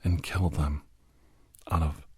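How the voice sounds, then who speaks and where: A man playing a grave, haunted doctor and war veteran in an ASMR horror audio drama, speaking slowly in a breathy, husky hush that often slips toward a whisper. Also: extremely low pitch, fairly even intonation, no room sound, clean studio sound, heavily acted. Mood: fearful, haunted. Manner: slow, halting, hushed, often slipping into whisper.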